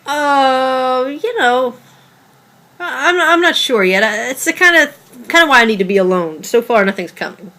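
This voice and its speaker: A female actor plays a secretive, defensive girlfriend in a naturalistic drama read. Her voice is on the high side and swings widely in pitch. She speaks haltingly and evasively. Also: expressive, sharp tone. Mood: guarded, evasive. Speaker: actor in a script table read.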